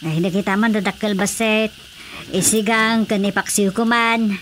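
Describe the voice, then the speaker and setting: A woman's voice: mid-range voice, fairly even intonation, normal speed, over loud music, natural voice. An actress playing a fussy older village mother in an Ilocano radio drama. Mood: picky, inquiring.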